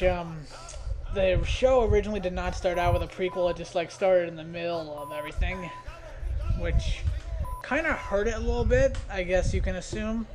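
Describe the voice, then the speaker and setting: A man giving casual, off-the-cuff video commentary in a hesitant, rambling, self-deprecating way. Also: high voice. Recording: noisy amateur home recording.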